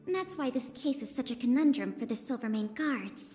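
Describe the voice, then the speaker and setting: A woman's voice: on the high side, expressive, brisk, mellow, in a large hall, soft background music, lightly acted. An actress playing an eager, bookish young female museum official in a video game, explaining things with polish and enthusiasm. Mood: earnest, concerned. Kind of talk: scripted video game voice acting.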